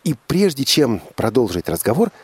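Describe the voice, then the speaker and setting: A man hosting a conversational radio interview, halting and emphatic, repeating his phrases. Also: medium pitch, big pitch swings, husky, no echo. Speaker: radio host.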